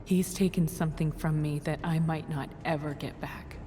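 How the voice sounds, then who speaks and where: A woman's voice: low voice, fairly even intonation, normal speed, warm tone, in a large hall, a little hiss or hum, natural voice. A middle-aged woman playing a weary, guilt-ridden estranged mother, somber, terse and stubborn, in a dramatic video game cutscene. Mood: bitter, resigned.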